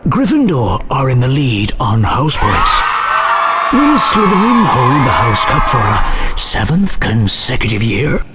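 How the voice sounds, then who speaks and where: Animated male announcer over music. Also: medium pitch, a little slow, some chatter behind. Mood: suspenseful. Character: dramatic male announcer of a school contest. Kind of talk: theatrical game narration. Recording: loud music under the voice.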